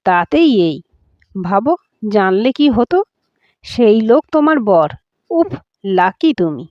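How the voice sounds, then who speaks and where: A woman's voice: mid-range voice, big pitch swings, slow pace, no room sound, highs cut off. Woman voicing a chatty, teasing young man. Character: chatty teasing young male friend of the groom.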